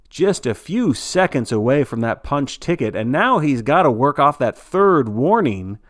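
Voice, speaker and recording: An earnest male sports broadcaster, acted out by a man calls a grim contest play by play. His mid-range voice is folksy and theatrical, with suspenseful pauses, recorded close and dry. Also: mid-range voice, no echo, close to an everyday voice. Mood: excited. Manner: dramatic, folksy announcer delivery with suspenseful pauses. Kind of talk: scripted play-by-play sports commentary.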